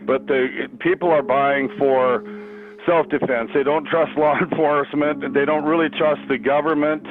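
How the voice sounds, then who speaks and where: A man's voice: a little high, normal speed. A man talking casually in an interview clip, rambling with lots of fillers. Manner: rambling, filler-heavy, relaxed. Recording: tinny phone-like radio clip.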